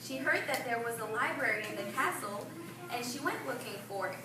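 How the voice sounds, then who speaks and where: Woman doing storytime for little kids, high voice, super echoey and kinda noisy recording. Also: lively intonation, brisk. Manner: instructive, prompts listeners with questions. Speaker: library staff narrator of a puppet show. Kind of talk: storytelling to young children. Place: public library event room. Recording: echoey room from far off, noisy.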